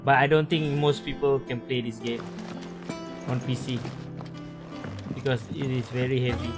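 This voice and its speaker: A man's voice: medium pitch, little pitch movement, normal speed. A man giving casual live commentary as he streams a video game. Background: game music with plucked strings.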